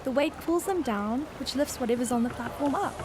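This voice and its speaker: Fisherwoman, female actor, radio drama: high voice, easygoing and welcoming, noisy watery ambience. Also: expressive, brisk. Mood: explaining, easygoing. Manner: breezy, reassuring, friendly. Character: friendly, easygoing fisherwoman and generous local guide.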